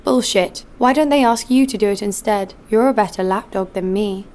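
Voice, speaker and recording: A woman's voice: medium pitch, normal speed, darkish tone, lightly acted. An actress playing a cynical, hard-nosed female bounty hunter in an audio drama, with a blunt, sardonic delivery. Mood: hostile, blunt. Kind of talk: first-person audio drama narration and dialogue.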